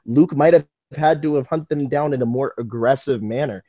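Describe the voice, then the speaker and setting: An American man co-hosting a casual live fan podcast, talking in an excitable, joking way. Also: mid-range voice, normal speed, dry recording. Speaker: podcast co-host.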